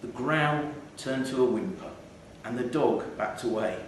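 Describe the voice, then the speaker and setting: A man reading a short story aloud in a measured, wry storytelling manner, live in a theatre. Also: mid-range voice. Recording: echoey theatre hall, distant mic, faint noise.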